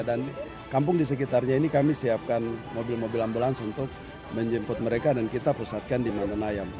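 Man listing points methodically. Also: mid-range voice. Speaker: health office committee chair. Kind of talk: interview statement. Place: outdoors at a village health event. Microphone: handheld phones held up by reporters.

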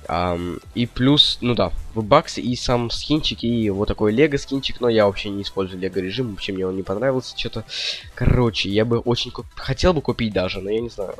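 Low-voiced young man rambling over music. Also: fairly quick, a bit bright. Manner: slangy, indecisive rambling to viewers. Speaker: gaming video host. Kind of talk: casual gameplay commentary.

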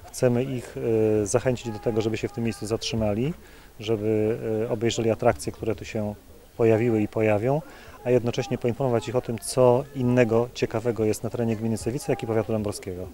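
A man in his forties, a local official, speaking in a measured, formal way with some hesitations in an outdoor interview. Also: fairly low pitch, level tone, warm tone. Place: outdoors at an event site.

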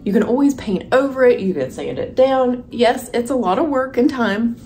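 A woman's voice: fairly high pitch, lively intonation, normal speed, warm tone. A woman in her thirties chatting casually and encouragingly to viewers of her home vlog, recorded indoors at home. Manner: encouraging, addresses viewers directly. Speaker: home decor and lifestyle vlogger. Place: rooms of a house, indoors. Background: background music.

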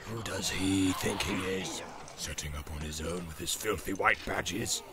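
A man voicing a sly, evil orc who speaks softly with an insinuating sneer in a fantasy audiobook. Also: sits low, measured pace, voice pushed down. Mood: sneering, scornful. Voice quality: soft, hissing, gravelly.

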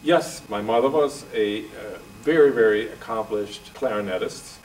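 A man in his forties from Denmark with a Danish accent, answering interview questions in a relaxed, thoughtful way with filler pauses. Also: lowish voice, recorded from a distance, a little hiss or hum, poor audio. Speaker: guest jazz violinist. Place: office room, indoors.

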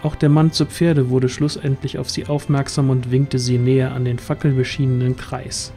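A man's voice: mid-range voice, flat delivery, moderate pace, dark tone, soft background music. A man narrating a scripted fantasy audio drama as its storyteller.

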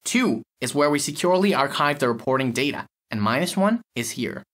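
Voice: medium pitch, expressive, fairly quick, a bit bright. An actor playing an impatient, reluctant lab technician in an audio story, explaining with exasperation. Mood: dry explaining.

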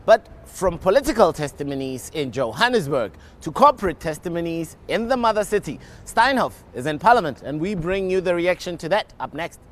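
A man's voice: a little high, very animated, brisk, few pauses. A young man presenting a scripted television news segment outdoors beside an office building.

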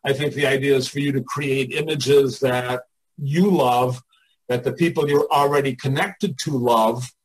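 A man's voice: mid-range voice, fairly even intonation, measured pace, slight background noise, low-quality recording. An older man giving informal one-on-one coaching in a blunt, emphatic way, thinking aloud as he goes. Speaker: artists' coach and mentor.

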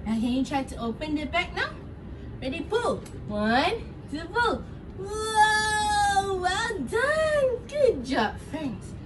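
A woman's voice: extremely high pitch, big pitch swings, slow. A young woman with a Singaporean accent leads an interactive lesson for preschoolers, speaking in short, simple, encouraging phrases with exaggerated sing-song delivery. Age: young adult. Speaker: preschool teacher at a children's gym. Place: indoor padded children's gym. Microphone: camera a few metres away. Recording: distant mic with clear room noise.

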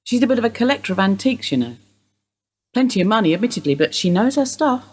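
A woman acting in a radio play as a weary, evasive older wife under suspicion, husky and rambling in an understated way. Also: fairly high pitch, very animated, fast. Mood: wistful, evasive.

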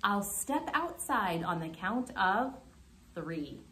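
A woman's voice: medium pitch, very animated, slow, pushed and bright, full character voice. A woman voicing a sleepy, stubborn little male groundhog in a children's storybook. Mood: content.

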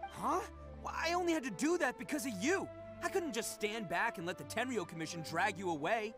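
An actor voicing a brash, cocky young oni brawler in a video game, with a swaggering, showy delivery. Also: male voice, sits high, lively intonation, a little fast, over music. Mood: puzzled, defensive.

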